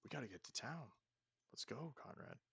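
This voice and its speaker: A man's voice: medium pitch, expressive, normal speed, creaky, bright, forceful tone, slightly rough. A man casually talking through a roleplay video game as the host, thinking aloud and often restarting his sentences. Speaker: let's play host. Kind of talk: casual gameplay commentary.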